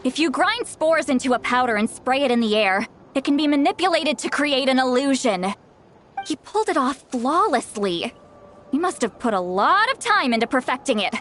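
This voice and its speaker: A woman's voice: high voice, animated, normal speed, fairly bright tone, slight background noise. A nagging, scolding grandmother. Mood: exasperated. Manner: nagging, exasperated scolding with sighs. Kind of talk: video game cutscene dialogue. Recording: game music behind voice.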